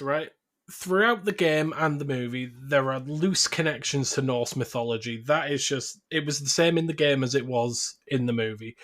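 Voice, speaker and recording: A man's voice: medium pitch, a bit bright. A man talking casually as a podcast host about film, opinionated and swearing freely.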